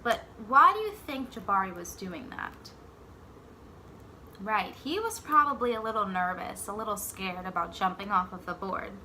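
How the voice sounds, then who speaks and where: Bright-voiced woman reading aloud to children. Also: medium pitch, expressive, a little hiss or hum. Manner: pauses to ask simple questions and echo the answers. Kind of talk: expressive picture-book read-aloud with questions to children.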